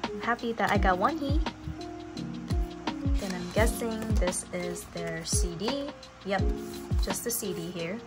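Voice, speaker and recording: A woman's voice: medium pitch, animated, unhurried, pauses often. A young adult woman chatting casually as a vlogger, with a girlish, gushing way of talking. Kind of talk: casual lifestyle vlog chat. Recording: background music under vlog audio. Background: music.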